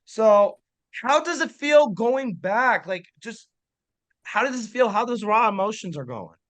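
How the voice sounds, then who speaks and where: A man's voice: sits high, expressive, measured pace, a bit bright. A man hosting a podcast interview, asking eager, loose run-on questions. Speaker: podcast host.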